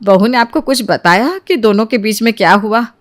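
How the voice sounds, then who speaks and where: A woman's voice: a little high, lively intonation, moderate pace, close to an everyday voice. A woman voicing an anxious, bewildered middle-aged mother-in-law in a story, polite and fretful. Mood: worried.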